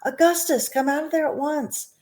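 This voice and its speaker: A woman voicing a frantic mother who shrieks in a shrill, panicky voice. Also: fairly even intonation, normal speed, darkish tone, natural voice. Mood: pleading.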